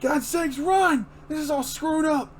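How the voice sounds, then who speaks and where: A man's voice: very high-pitched, measured pace, slight background noise, voice pushed up. A man voicing an impatient, hot-tempered crook who bullies his accomplice in a brusque, threatening way for a comic-book reading. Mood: furious.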